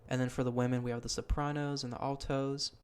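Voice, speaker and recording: A man's voice: mid-range voice, level tone, fast talker, darkish tone, clear, resonant tone. A young man hosting a podcast, talking in a casual, earnest way with plenty of ums and restarts. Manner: earnest, rambling with many ums. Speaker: podcast host. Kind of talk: casual chat.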